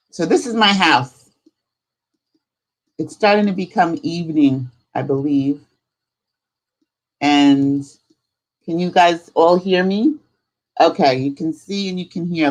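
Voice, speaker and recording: A woman hosting a live spirituality stream, chatting casually in an unhurried, rambling way. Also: low voice, low-quality recording. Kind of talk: casual livestream chat.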